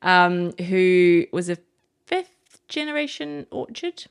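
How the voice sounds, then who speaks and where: Gravelly-voiced New Zealand woman chef. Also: fairly low pitch, lively intonation, slow. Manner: chatty, enthusiastic, rambling with many restarts. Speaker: chef guest. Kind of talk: casual interview chat.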